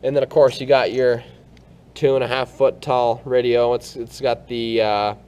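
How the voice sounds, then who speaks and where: A man giving a casual hands-on product demonstration, explaining informally and haltingly, step by step. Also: medium pitch, level tone, darkish tone, smooth. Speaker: product reviewer.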